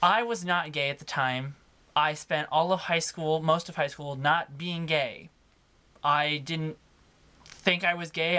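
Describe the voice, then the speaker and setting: A young man speaks in a naturally high voice for a male, chatting as a vlogger would. His delivery is casual and personal. He is expressive and leans on certain words, stretching them out for emphasis. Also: a little hiss or hum. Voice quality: higher-pitched voice for a man. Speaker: video blogger. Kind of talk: casual personal vlog talk to camera.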